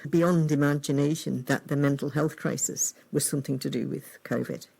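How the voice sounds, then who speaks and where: Gravelly-voiced radio interviewer woman. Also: sits low, fairly even intonation, moderate pace, darkish tone, poor audio. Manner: conversational, chimes in with agreeing remarks.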